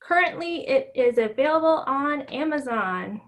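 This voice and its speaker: Female guest author, high voice, for storybook reading and short interview answers. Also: lively intonation, measured pace. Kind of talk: storybook read-aloud and interview.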